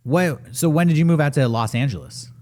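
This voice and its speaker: A man's voice: on the high side, lively intonation, fairly quick, darkish tone. A man hosting a casual podcast, asking questions in an easygoing, curious way. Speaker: podcast host.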